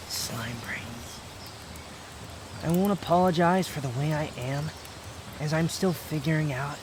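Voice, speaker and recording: A man's voice: on the high side, background noise. A man playing a proud, bitter young man, guarded but tender, in an intimate ASMR roleplay with brooding, curt, confessional delivery. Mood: softened, apologetic. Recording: outdoor nature ambience clearly behind voice.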